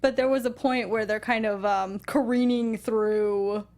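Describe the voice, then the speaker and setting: A woman's voice: on the high side, fairly even intonation, measured pace, a little mellow. A woman co-hosting a film review show in casual, conversational chat.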